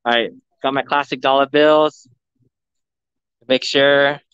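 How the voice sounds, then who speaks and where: A man livestreaming a walkthrough of a pinball showroom, excitedly talking to his viewers, recorded on a phone indoors. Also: medium pitch, slow, smooth, no echo, highs cut off. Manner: excited, enthusiastic, addressing viewers. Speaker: vlogger, live streamer. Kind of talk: live walkthrough commentary. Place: large indoor arcade showroom. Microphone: phone camera.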